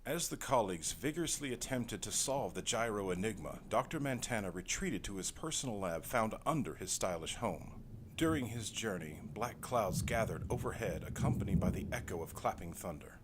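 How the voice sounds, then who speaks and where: A man's voice: fairly low pitch, lively intonation, moderate pace, a little hiss or hum. A man delivering dramatic scripted narration in a theatrical, mock-serious way. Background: music.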